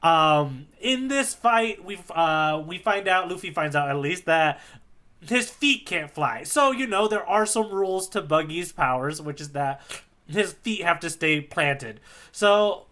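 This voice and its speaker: A man's voice: fairly high pitch, lively intonation, unhurried, sharp tone. A man co-hosting a podcast, telling a story in a casual, rambling, jokey way. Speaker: podcast co-host. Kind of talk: casual chat recapping a manga chapter.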